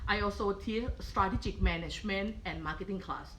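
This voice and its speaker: A woman's voice: medium pitch, fairly quick, a bit bright. Middle-aged Thai-accented woman, patient cooking instructor, kitchen clatter and faint hiss under her. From Thailand. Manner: patient, checks in with frequent "okay?". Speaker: culinary professor. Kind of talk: live cooking demonstration.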